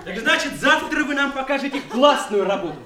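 A coarse, jovial bridegroom-to-be in a radio play, boastful and boorish. The voice is high and swings widely, in an echoey room. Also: male voice, animated, brisk, crowd noise. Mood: brash, jovial. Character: brash, boorish jovial country fiancé, crudely boastful.

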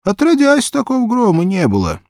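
A man acts out a frail eight-year-old village boy from a children's audiobook. The voice is lifted high and moves in wide swings, plaintive and trusting, in simple folksy speech. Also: wildly swooping pitch, fairly quick, darkish tone, no room sound, studio quality, full character voice. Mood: awed.

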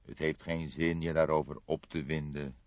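A man voicing a calm, stubborn old police detective in an audiobook, weary and deliberate in his delivery. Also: rumbling low, no room sound, dull audio. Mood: resigned. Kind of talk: audiobook character dialogue.

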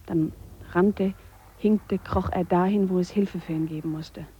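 An actress playing an earnest, reflective Swiss woman biologist who speaks a measured monologue in a radio play. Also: on the low side, fairly even intonation, slightly rough, highs cut off. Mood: somber. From Switzerland. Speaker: biologist character. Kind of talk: radio drama monologue, spoken letter.

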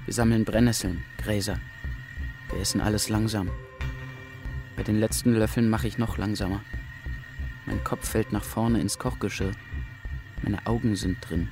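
A man's voice: fairly low pitch, lightly acted. An actor playing an exhausted male prisoner in a literary radio drama, speaking in short, stark sentences. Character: exhausted male prisoner recounting a deportation journey. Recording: music clearly under the voice.

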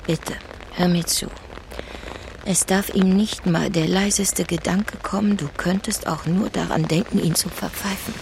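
A woman's voice: fairly low pitch, steady tone, measured pace, gravelly, noisy background. An actress playing a working-class rural mother in an audio drama, wary and coaxing, with intimate, naturalistic delivery. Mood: anxious, pleading.

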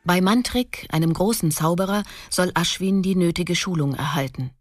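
A woman's voice: lowish voice, moderate pace. A woman giving scripted narration and title announcements for a fantasy radio drama.

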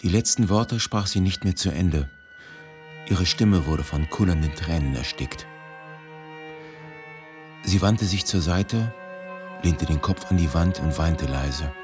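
A man narrating a radio drama from a script in a solemn, measured way. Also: sits low. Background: music.

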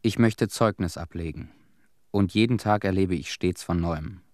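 An actor playing an ordinary townsman who survived a disaster, giving earnest, embittered testimony in a solemn, deliberate radio drama monologue. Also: deep voice, darkish tone, no echo, studio quality, natural voice. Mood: earnest, bitter.

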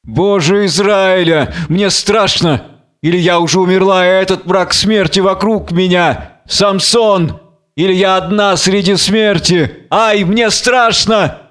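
A man's voice: high-pitched, measured pace, a bit bright, pitched above the performer's own voice. A man voicing a distraught, fearful blind woman in a declamatory, imploring reading of a biblical tragedy. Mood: terrified.

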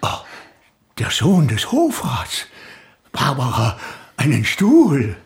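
An actor playing a gruff shopkeeper father who swings theatrically between suspicious bluster and fawning servility. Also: medium pitch, wildly swooping pitch, slow pace, heavily acted. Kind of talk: theatrical radio drama.

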